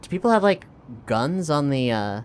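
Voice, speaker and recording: A man's voice: a little high, sing-song, normal speed, slight background noise. A man chatting informally and inquisitively as a player in a tabletop roleplaying game. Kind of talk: casual improvised game chat.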